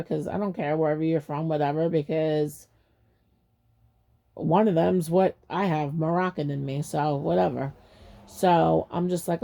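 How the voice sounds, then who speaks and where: A woman's voice: fairly low pitch, vocal fry, mellow. A woman hosting a faceless storytime channel, telling a personal story in a rambling, chatty way and acting out her own reactions. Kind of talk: casual storytime monologue.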